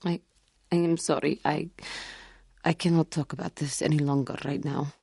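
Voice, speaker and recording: A woman playing an earnest, wounded alien warrior in a video game, speaking formally and gravely in measured phrases. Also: sits low, fairly even intonation, dark tone, dry recording, studio quality, exaggerated voice. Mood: grieving. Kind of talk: video game character dialogue.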